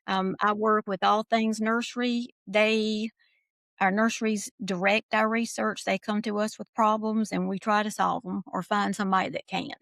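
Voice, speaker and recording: A middle-aged Southern woman from Georgia, talking casually on a podcast. She tells homespun stories in an easy, folksy manner, at a middle pitch. The recording is dry and close. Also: little pitch movement, moderate pace, vocal fry, fairly bright tone, dry recording. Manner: folksy storytelling. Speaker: podcast guest, nursery researcher. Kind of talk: casual chat.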